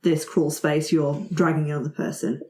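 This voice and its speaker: Lower-voiced woman game master in a roomy space. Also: little pitch movement, normal speed, dark tone. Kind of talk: narrating a tabletop game. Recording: roomy, echoey recording.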